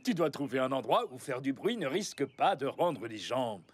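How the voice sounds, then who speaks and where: Cartoon casting: big grown male engine , voiced by a man, lively intonation over loud clicking noise. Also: mid-range voice, normal speed, close to an everyday voice. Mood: amused, advising. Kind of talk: children's cartoon dub acting. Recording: very noisy background with clicks.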